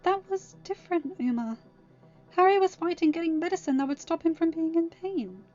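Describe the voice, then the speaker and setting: A woman's voice: very high-pitched, lively intonation, normal speed, warm tone, muffled recording, faint music behind, voice pushed up. A woman voicing a troubled, self-doubting teenage girl in a plaintive, insistent way for a story. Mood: defensive.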